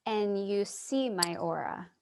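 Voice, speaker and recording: A woman's voice: medium pitch, slow, darkish tone, slight background noise. An actress playing a thoughtful, hesitant, searching woman in a staged play reading over a video call. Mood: curious, hesitant.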